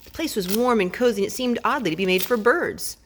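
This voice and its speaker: A woman's voice: a little high, lively intonation, fast. Woman reading a storybook aloud, faint noise. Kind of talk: expressive children's storybook reading.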